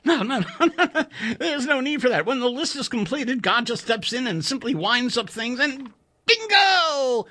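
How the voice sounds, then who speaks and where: A man voicing a nervous, excitable male computer engineer who stammers and talks urgently. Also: normal speed, exaggerated voice. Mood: amused. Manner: high-strung, stammering, urgent.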